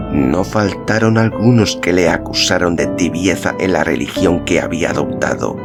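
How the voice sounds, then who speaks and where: A man's voice: low voice, gravelly. A man reading a literary story as a scripted narration, in the role of podcast narrator. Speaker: audiobook-style podcast narrator. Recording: music behind the voice. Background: instrumental music.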